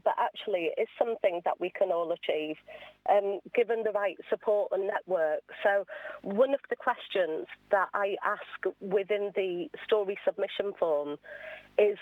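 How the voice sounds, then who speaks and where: Woman guest on a radio chat, explaining stuff earnestly, mid pitch, bit muffled and lo-fi. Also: no room sound. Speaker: interview guest, awards founder. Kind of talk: conversational radio interview.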